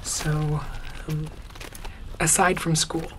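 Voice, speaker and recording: A woman playing a gentle, awkward, loyal schoolboy in an audio drama, soft-hearted and hesitant in manner. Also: sits low, level tone, extremely slow, slight background noise, full character voice, low-quality recording. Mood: shy, caring.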